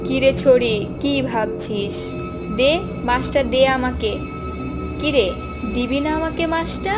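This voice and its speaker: Woman as a cartoon witch ghost, greedy, menacing, taunting, high-pitched, music underneath. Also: expressive, takes it slowly. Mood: menacing, demanding. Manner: taunting, demanding, cackling. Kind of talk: Bengali cartoon horror fairy tale acting.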